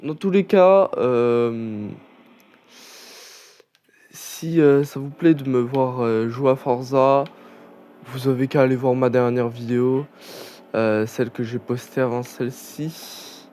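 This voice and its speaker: A man's voice: mid-range voice, fairly even intonation, slow, mellow. A young man chatting casually over a racing game, rambling with many fillers and restarts. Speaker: gaming channel host. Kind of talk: casual gameplay commentary.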